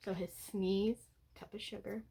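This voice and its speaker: A woman reading aloud for children, pointing out the pictures in soft, simple asides. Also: medium pitch, little pitch movement, moderate pace, creaky, husky. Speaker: teacher-like read-aloud storyteller.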